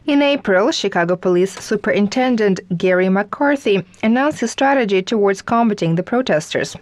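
A woman reading scripted news reports in a steady, even manner. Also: mid-range voice, moderate pace. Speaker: news presenter.

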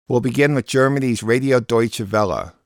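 A man's voice: mid-range voice, normal speed, dry recording, studio quality. A man hosting a radio program, reading a scripted digest of world news.

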